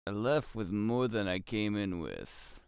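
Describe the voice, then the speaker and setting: Man playing a slow, gravelly noir detective. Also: lowish voice, lively intonation, no room sound. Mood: brooding, suspicious. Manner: brooding, terse, deliberate. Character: weary hard-boiled male private detective narrating his case. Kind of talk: noir audio drama narration. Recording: music under the voice. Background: music.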